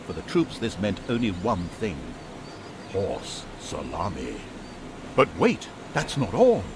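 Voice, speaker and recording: Theatrical male game narrator, swooping pitch. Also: wildly swooping pitch, takes it slowly, background noise. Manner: theatrical, wry, mock-grandiose. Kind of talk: scripted comedic fantasy narration. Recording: music behind the voice. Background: music.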